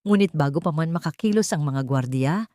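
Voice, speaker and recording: A woman's voice: sits low, animated, a little fast, dark tone, no echo, clean studio sound. A woman narrator reading a scripted audio drama in a dramatic storytelling style.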